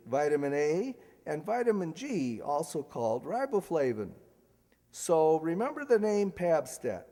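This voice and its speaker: An actor playing a polished, enthusiastic 1940s radio announcer and pitchman in an emphatic style. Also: dark tone, heavily acted. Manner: emphatic, salesmanlike. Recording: echoey hall stage recording.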